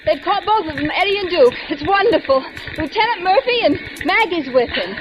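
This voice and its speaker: An actress in a 1940s radio drama playing a prim, bookish woman who speaks in crisp, precise diction. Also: extremely high pitch, lively intonation, brisk, background noise. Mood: tense. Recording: old radio broadcast with music.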